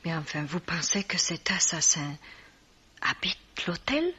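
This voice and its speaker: An actress playing a proud, jealous bereaved woman in a radio mystery, curt, haughty and breathy. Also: on the low side, sing-song, unhurried, sharp tone, exaggerated voice. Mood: wary. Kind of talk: French radio mystery drama acting. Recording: dull vintage-style radio recording.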